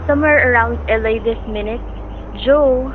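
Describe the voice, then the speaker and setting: A woman playing a worrying, gentle wife and mother in a radio suspense play. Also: a little high, moderate pace, lightly acted. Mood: worried. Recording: phone-like radio sound, faint noise.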